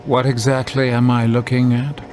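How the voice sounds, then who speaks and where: An actor playing a cold, calculating boss in a video game, measured and commanding. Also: male voice, flat delivery, a little mellow, close to an everyday voice. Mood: cool, calculating. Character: cold, calculating male boss in control room. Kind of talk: video game cutscene acting. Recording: rumbling noise behind the voice.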